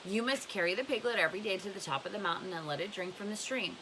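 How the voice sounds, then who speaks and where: A woman's voice: medium pitch, quick pace, background noise, close to an everyday voice. A woman reading a storybook as a blunt, scornful old woman who gives emphatic advice. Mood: firm, instructive. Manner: emphatic, questioning, instructive.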